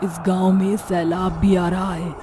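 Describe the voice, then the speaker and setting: A woman's voice: lowish voice, flat delivery, unhurried, over music, close to an everyday voice. A woman voicing a man-eating witch turned doting mother in a Hindi cartoon story, exclaiming and talking to herself expressively. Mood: hungry, scheming.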